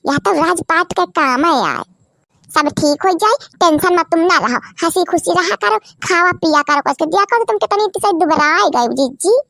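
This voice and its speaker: A woman's voice: extremely high pitch, bright, forceful tone, no echo, heavily acted. A woman voicing folksy, dramatic village women in a cartoon comedy, with an Awadhi accent and a childlike voice. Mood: plaintive. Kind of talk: cartoon comedy dialogue in rural dialect.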